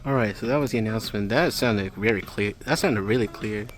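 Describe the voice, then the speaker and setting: A man's voice: on the low side, fairly quick. A man giving casual, unscripted tour commentary as a vlogger, with observational, technical asides. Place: inside retail stores, later outside. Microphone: handheld camera. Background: faint store music.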